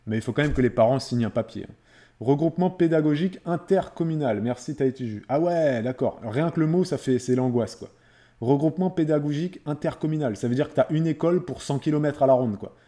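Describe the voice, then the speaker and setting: Mellow-voiced man chatting casually on a livestream. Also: fairly high pitch, quick pace. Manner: conversational, repeats phrases for emphasis. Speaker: live streamer reading viewers' chat. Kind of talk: casual live-stream chat.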